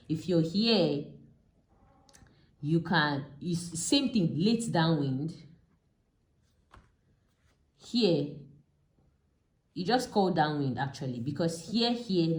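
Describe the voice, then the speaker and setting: Low, mellow Nigerian woman teaching in echoey room. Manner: didactic, repeats key terms step by step. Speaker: pilot and aviation instructor. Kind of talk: informal explanatory lesson with a drawn diagram.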